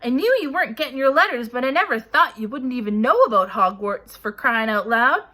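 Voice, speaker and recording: A woman voicing a huge, gruff male giant who booms and blusters indignantly. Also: sing-song, a little slow, bright, forceful tone, full character voice. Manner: booming, indignant.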